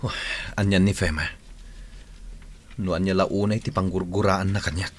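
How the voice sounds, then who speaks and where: Man acting a deep-voiced troubled older brother. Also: steady tone, normal speed, slight background noise, lightly acted. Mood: concerned. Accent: Ilocano. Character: troubled office worker, protective older brother Jojo. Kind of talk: Ilocano radio drama acting.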